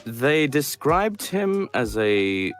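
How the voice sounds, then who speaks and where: A man's voice: medium pitch, very animated, very slow, faint music behind. A man being interviewed as a voice actor, telling casual anecdotes in an amused, slightly hesitant way. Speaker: guest voice actor.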